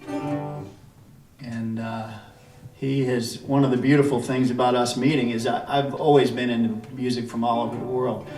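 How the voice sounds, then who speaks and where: A man making relaxed, rambling stage banter between songs at a house concert, full of restarts as he introduces a tune. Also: mid-range voice, a little slow. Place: living room at a house concert. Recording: roomy, distant, faint music.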